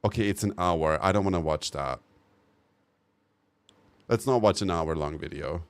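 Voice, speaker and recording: A man's voice: sits low, normal speed, creaky, clear, resonant tone, no room sound. A man streaming live, reacting bluntly to game news and giving opinionated takes. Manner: swears casually. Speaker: livestreamer. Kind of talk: casual live-stream commentary.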